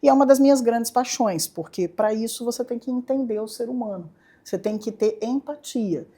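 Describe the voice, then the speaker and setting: A middle-aged woman neurologist from southeastern Brazil, guesting on a podcast with a Brazilian Portuguese accent and a lively, playful way of telling her story. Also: a little high, normal speed, slightly rough. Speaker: neurologist and university professor, guest. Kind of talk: casual interview chat. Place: podcast studio.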